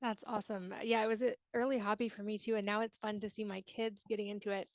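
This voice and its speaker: A woman's voice: medium pitch, flat delivery, fast talker, no echo, clean studio sound. A woman hosting a podcast, talking in a conversational interview style. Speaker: podcast host.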